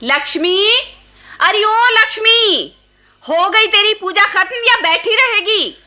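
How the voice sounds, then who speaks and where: A woman playing a sharp-tongued, scolding middle-aged housewife and aunt in a radio play. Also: extremely high pitch, expressive, slow pace, heavily acted. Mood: shouting impatiently. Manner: scolding, complaining, sarcastic. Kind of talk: Hindi radio play acting.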